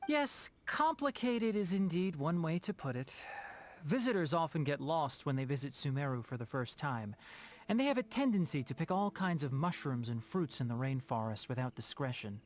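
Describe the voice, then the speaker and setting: A man voicing a knowledgeable, courteous young forest ranger in a video game, measured and articulate. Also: on the high side, sing-song. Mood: matter-of-fact. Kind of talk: video game character acting.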